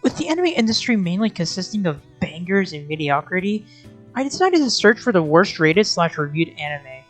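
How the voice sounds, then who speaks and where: A woman giving scripted video commentary as an anime reviewer, in an informal, sarcastic way. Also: low-pitched.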